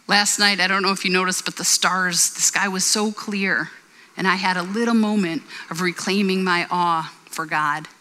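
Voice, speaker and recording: A woman's voice: medium pitch, sharp tone. A woman in her forties preaching a sermon in a church, earnest and conversational, with personal stories. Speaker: pastor. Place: church hall. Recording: reverberant church hall.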